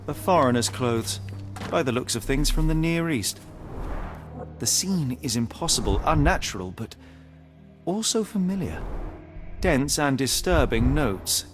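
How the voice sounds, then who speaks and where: A man playing a composed, sharp-minded detective in a video game, speaking with precise, measured, analytical authority. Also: medium pitch, animated, music in the background, lightly acted. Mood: analytical, intent. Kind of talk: dramatic detective game dialogue and deduction narration.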